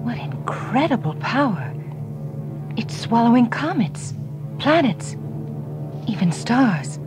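A woman's voice: fairly high pitch, big pitch swings, takes it slowly, over music. An actress playing an alert, earnest woman scientist aboard a spaceship, speaking dramatically. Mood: amazed.

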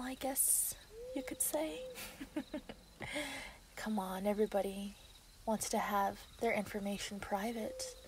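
A woman in an ASMR roleplay voicing an obsessive, sweetly possessive female werewolf, breathy and often dropping into a whisper. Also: mid-range voice, darkish tone, slight background noise, natural voice. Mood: menacing, taunting. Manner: slow, teasing, giggly.

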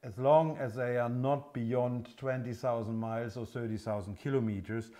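A man in his fifties with a continental European accent, a non-native English speaker explaining things off the cuff in a halting, hesitant way as a workshop presenter. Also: mid-range voice, steady tone, warm tone. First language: not English. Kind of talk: unscripted product explanation. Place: indoor engine workshop showroom.

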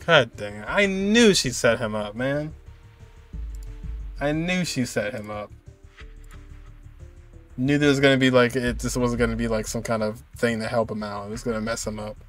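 A man's voice: medium pitch, normal speed, long pauses. Young male game streamer, casual live commentary, mid-range voice over faint music. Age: young adult.

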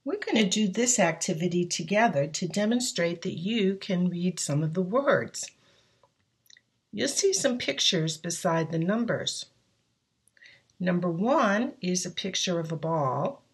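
A woman teacher slowly walking children through a worksheet, patiently explaining each step. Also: fairly low pitch, expressive, husky. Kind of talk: step-by-step instruction.

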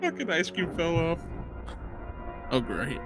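A man's voice: on the high side, huge pitch swings, takes it slowly. A young adult man reacting casually to a movie, full of slang, exclamations and swearing, close on a microphone. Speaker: reaction show co-host. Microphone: close podcast microphone, headphones. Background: music and movie soundtrack.

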